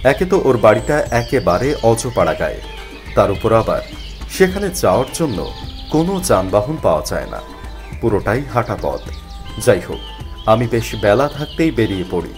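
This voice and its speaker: A man narrating a spooky first-person story in an expressive, dramatized way. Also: fairly low pitch, normal speed. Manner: expressive, acts out the character's worried thoughts. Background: faint music.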